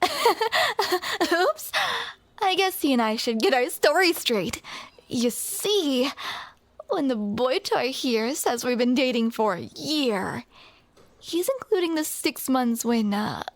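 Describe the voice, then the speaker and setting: A young woman with a high voice acts out a romantic comedy audio roleplay into a close mic. She talks at an unhurried pace, flustered and playful, with awkward little laughs. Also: big pitch swings, no room sound.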